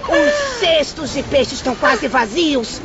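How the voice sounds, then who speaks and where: Shrill, raging, abusive mother. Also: huge pitch swings, slow, heavy background noise, exaggerated voice. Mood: furious accusing. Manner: scolding, shouting, harsh, insulting. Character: abusive, greedy, hot-tempered mother, a fishwife. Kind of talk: audiobook drama acting. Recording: dull lo-fi audio, busy background crowd.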